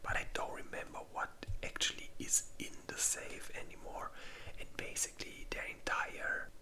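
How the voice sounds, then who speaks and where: An ASMR creator speaking in a breathy whisper, ranking movies in an informal, halting, unhurried way. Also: sharp tone.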